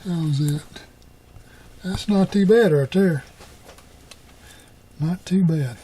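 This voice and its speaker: Husky, mellow middle-aged man, folksy. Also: on the high side, slow. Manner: folksy, colloquial, muttering to himself while working. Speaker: repair hobbyist. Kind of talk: casual hands-on tutorial narration.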